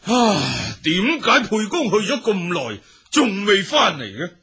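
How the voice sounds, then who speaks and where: A man's voice: high-pitched, wildly swooping pitch, unhurried, bright, forceful tone, heavily acted. A man playing a proud, commanding warlord general in a Chinese historical radio drama, with declamatory delivery. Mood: impatient. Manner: declamatory, imperious.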